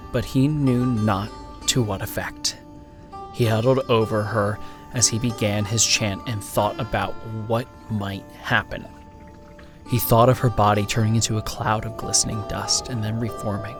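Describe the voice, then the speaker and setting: Male horror narrator, solemn and suspenseful, unhurried delivery over clear background music. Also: fairly low pitch. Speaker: story narrator. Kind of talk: dramatic horror storytelling narration. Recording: music behind the voice.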